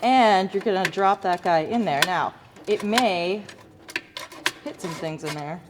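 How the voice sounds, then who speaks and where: A woman's voice: fairly low pitch, measured pace. A woman teaching a hands-on demonstration to students in an informal, self-correcting, good-humored way, as an instructor. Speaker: lighting design instructor. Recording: echoey reverberant hall, background noise.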